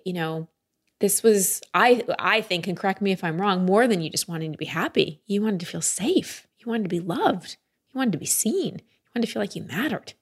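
A woman hosting a podcast and working as a coach, answering her guest in a gentle, reflective way. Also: lowish voice, gravelly. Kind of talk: empathetic interview.

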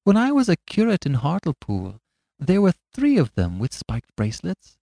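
Timid little rustic priest, played by a man, higher voice, gently apologetic, dry close read. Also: wildly swooping pitch, moderate pace, vocal fry, no room sound, heavily acted. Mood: mild. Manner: mild, apologetic, eager. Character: small, timid, vague country priest.

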